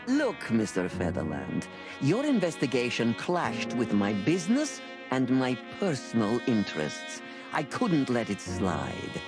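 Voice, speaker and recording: A performer playing a cold-blooded crocodile crime boss in a noir video game, speaking in a measured, formal, condescending way. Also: mid-range voice, wildly swooping pitch, over music. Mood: cool, unapologetic.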